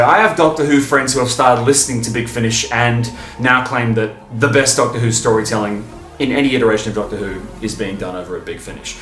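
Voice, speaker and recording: A man hosting a fan video, talking in an enthusiastic, informal, emphatic way, stopping and starting with pauses for breath. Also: medium pitch, fairly bright tone, echoey room. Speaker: video host and fan reviewer.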